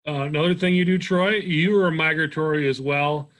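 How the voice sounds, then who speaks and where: A man's voice: on the high side, steady tone, normal speed, a bit bright. Man hosting a fishing podcast. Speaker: podcast host. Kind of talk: interviewing a guest.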